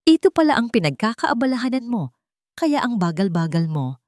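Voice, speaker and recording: A brisk, commanding young wife from an audio drama, voiced by a woman narrator. She is bossy, and the recording is dry. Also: on the high side, sing-song, darkish tone, no echo, voice pushed up. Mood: scornful. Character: scornful young wife and mother, outwardly cheerful, bossy.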